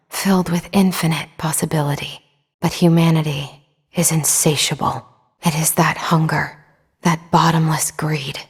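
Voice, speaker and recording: A female android crew officer for a video game. She is composed and selfless, with a slightly low voice and exact, clinical phrasing. Every order and report comes out measured and formal. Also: slightly rough, dry recording. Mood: solemn, hushed.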